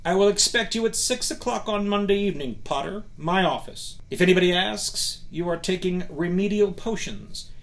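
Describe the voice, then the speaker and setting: A waspish, superior male wizard teacher from a storybook, acted out by a male reader. He speaks with silky sarcasm and delicate stress, his animated pitch sliding around as he sneers. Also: normal speed, few pauses. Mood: silky, disdainful.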